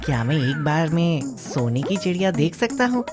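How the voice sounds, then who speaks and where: A woman voicing a clever, confident young boy in a moral-story cartoon, polite, deliberate and persuasive in manner. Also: low voice, sing-song, music in the background. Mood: sly, persuasive.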